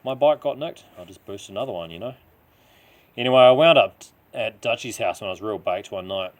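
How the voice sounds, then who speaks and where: Man voicing rambling New Zealand cabbie, rough-edged. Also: lowish voice, animated, a little fast, close to an everyday voice. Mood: confiding. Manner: slangy, insistent, confiding. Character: rambling, rough-living male taxi driver with a dark past. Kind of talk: character dialogue in audio story.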